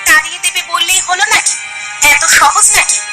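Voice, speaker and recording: A woman playing a concerned, resolute young village wife who speaks earnestly in an animated story. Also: extremely high pitch, a little fast, over music. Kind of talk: Bengali animated story dialogue.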